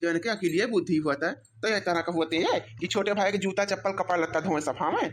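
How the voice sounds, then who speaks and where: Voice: mid-range voice, fairly quick, close to an everyday voice. A performer voicing a smug, mocking young husband in an Awadhi cartoon comedy, teasing and boastful with an Awadhi accent. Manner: teasing, boastful, rambling mockery.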